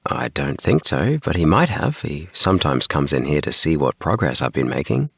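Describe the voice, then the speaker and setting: A man's voice: rumbling low, sing-song, normal speed, gravelly, sharp tone, breathy edge, no echo, clean studio sound, full character voice. A man voicing an earnest, defensive child inventor with an insistent way of talking, in a children's audiobook. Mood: puzzled. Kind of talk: children's audiobook character dialogue.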